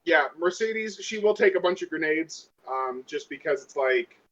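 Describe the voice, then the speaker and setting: Higher-pitched man, dull lo-fi sound. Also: animated, normal speed, fairly bright tone. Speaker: player in a tabletop game. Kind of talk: casual game-table chat. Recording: dull, lo-fi voice recording.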